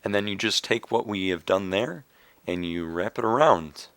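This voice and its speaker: A young man with a raspy, slightly hoarse voice, casually explaining a game build step by step with fillers. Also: fairly low pitch, animated, moderate pace, fairly bright tone, no echo. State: hoarse from coughing. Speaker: video game tutorial maker. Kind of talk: casual screen-recorded game tutorial.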